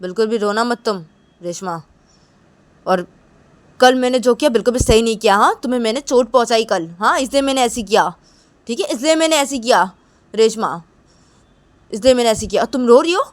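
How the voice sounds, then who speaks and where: A woman's voice: a little high, fairly quick, long pauses, no room sound, pitched above the performer's own voice, close to an everyday voice. A woman voicing a stern, guilt-ridden young woman with a short temper, repeating terse warnings in an improvised dramatic story. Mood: angry, insistent. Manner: terse, insistent, repetitive warnings.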